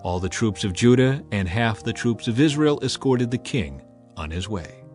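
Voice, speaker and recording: A man's voice: lowish voice, lively intonation, normal speed, a little mellow, soft background music. A man narrating scripture as a scripted, dramatized audio reading. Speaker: Bible narrator.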